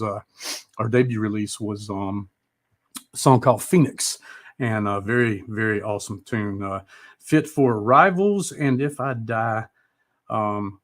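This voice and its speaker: A middle-aged man hosting a live music show in casual, rambling, mildly profane interview chat. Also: medium pitch.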